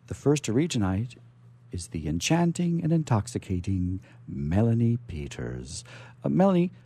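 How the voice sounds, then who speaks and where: Animated, husky low-voiced man hosting. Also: moderate pace. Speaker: radio show host. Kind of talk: chatty show introduction. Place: radio studio.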